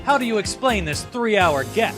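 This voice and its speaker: A man's voice: high voice, lively intonation, moderate pace. A man playing an earnest, nervous young rookie defense lawyer in a courtroom game fan dub. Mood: bold, challenging. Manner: hesitant stammer, bursts into bold objections. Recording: music under the voice.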